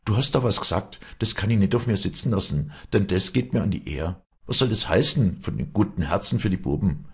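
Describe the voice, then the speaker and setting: A man's voice: lowish voice, very animated, moderate pace, hardly any pauses, a little mellow, slightly rough, pitched above the performer's own voice, heavily acted. A man voicing a poor, honest young maidservant, agitated and indignant, pleading in Alpine Bavarian dialect in a storybook reading. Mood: breathless challenge.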